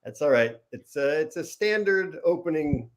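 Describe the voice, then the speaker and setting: Brief: middle-aged male co-host, dry deadpan humor, relaxed, webcam audio. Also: a little high, moderate pace. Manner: dry, joking. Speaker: co-host, television writer. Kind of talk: casual livestream chat. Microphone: video call webcam.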